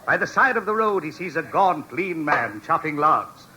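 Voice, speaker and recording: A man's voice: a little high, very animated, moderate pace, very noisy. A man playing a grandiose, sentimental movie narrator in a 1940s American radio comedy sketch. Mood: grandiose, dramatic. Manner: melodramatic, declamatory. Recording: vintage 1940s radio recording.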